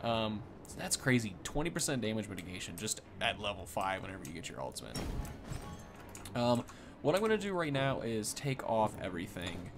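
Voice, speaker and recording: Lively, low-voiced young man commentating a game. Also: fairly quick, music in the background. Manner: rambling, thinking aloud. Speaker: gaming video host. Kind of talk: casual unscripted game commentary. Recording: clear background noise from game. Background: video game sounds.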